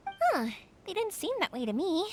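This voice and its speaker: An actress playing a cheerful young fireworks maker in a video game, bubbly and eager. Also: female voice, very high-pitched, wildly swooping pitch, normal speed, slight background noise, exaggerated voice. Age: young adult. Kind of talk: game voice acting.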